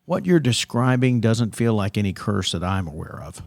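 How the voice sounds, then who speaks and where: An actor playing a reassuring 1990s late-night radio host of a paranormal show, with smooth showman patter. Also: on the low side, very animated, moderate pace, dry recording, clean studio sound.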